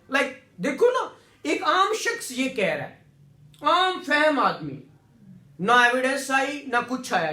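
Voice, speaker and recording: A man's voice: extremely high pitch, pushed and bright. A man with a Pakistani Punjabi accent lecturing to a class, explaining repetitively, often checking for agreement and mixing in English legal terms. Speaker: law lecturer. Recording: echoey room sound.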